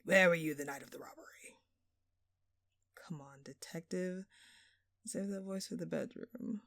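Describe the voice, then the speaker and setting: A woman's voice: on the low side, unhurried, vocal fry, fairly bright tone, no echo, studio quality. A woman playing a smug, obnoxiously flirtatious thief in an audio roleplay, drawling and teasing in a sultry, mock-innocent way. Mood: mocking, playful. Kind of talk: audio roleplay acting addressed to the listener.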